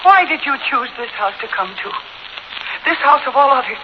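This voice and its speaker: High-voiced scared housewife, old radio. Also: female voice, expressive, normal speed, lo-fi sound. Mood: fearful, suspicious. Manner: tense, pleading yet firm. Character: frightened but defiant young mother and housewife. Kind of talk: 1940s radio thriller acting. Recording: noisy band-limited 1940s radio recording.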